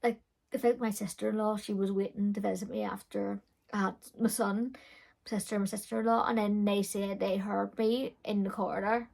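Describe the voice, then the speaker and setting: A young woman recounts her own story in a loose, chatty vlog manner, peppering it with fillers. Her voice is loud, with a gravelly, creaky edge running under mid-range pitch. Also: little pitch movement, moderate pace. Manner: chatty, frank, with frequent fillers and laughs. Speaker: beauty vlogger. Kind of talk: casual storytelling.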